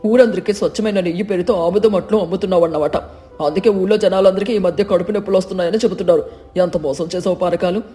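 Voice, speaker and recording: A man's voice: high voice, fairly even intonation, fast talker, dark tone, faint music behind, close to an everyday voice. A man playing a stern village doctor who admonishes in a theatrical way, in a moral story. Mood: mocking, reproachful. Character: stern village doctor, scolding a cheating food seller. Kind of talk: dramatised moral story narration.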